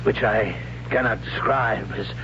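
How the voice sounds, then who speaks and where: An awed man of a primitive world acted by a man in a 1970s radio play. His voice is low and he feels his way slowly through halting, solemn phrases. The old recording is dull and hissy. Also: natural voice. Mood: awed, reluctant. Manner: halting, solemn, hushed dread. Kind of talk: 1970s radio drama acting.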